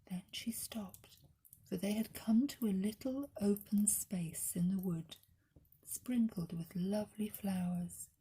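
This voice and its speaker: A woman reading a children's story as narrator, in a gentle, deliberate storytelling manner. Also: mid-range voice, slight background noise, poor audio. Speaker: story reader.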